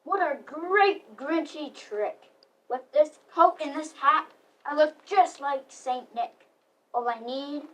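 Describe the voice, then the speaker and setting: A school-age girl voicing a grumpy, scheming male Grinch with slow, emphatic lines in a readers theater recital. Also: sits high, fairly bright tone. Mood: gleeful scheming. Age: child, about 11 to 12. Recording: distant mic in a live stream.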